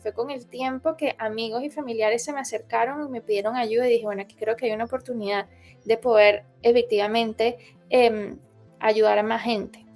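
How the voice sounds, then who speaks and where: Woman, young adult, fairly high voice, hesitant, fillers and restarts, interview, soft music behind. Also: steady tone, moderate pace. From Colombia, raised in Venezuela. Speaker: interviewee, founder. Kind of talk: interview testimony.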